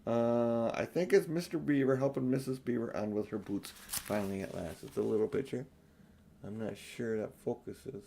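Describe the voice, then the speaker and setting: An older man reads a children's storybook aloud. He has a mid-pitched voice and an informal, homely delivery suited to family listening. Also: normal speed, vocal fry, warm tone, a little hiss or hum. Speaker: storyteller reading an audiobook for his grandchildren.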